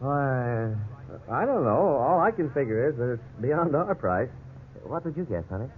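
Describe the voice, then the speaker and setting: An actor playing a thrifty young husband in a 1950s radio drama, with an easygoing, folksy manner and dry jokes. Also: male voice, medium pitch, big pitch swings, moderate pace, natural voice. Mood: wry, wary. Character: wry, thrifty young husband wary of house prices. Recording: tinny, hissy 1950s radio recording.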